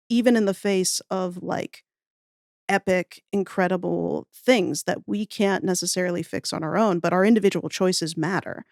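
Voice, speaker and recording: A woman's voice: mid-range voice, no room sound, clean studio sound. A woman speaking as a podcast guest in casual, opinionated conversation, thinking aloud in an informal, emphatic way. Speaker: podcast guest, audio fiction writer.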